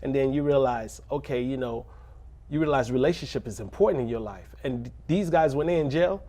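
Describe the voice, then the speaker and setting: A man who grew up in a rough neighborhood, speaking as a pastor in a casual interview, earnest and preacherly, breaking into laughs and jokes. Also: medium pitch, brisk, dark tone. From Little Haiti, Miami.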